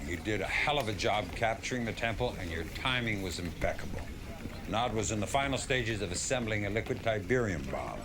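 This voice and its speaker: Casting: male actor as gruff general, low voice, blunt and stern, military game drama, noisy bed. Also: natural voice. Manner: stern, clipped, weighty. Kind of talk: dramatic video game cutscene acting.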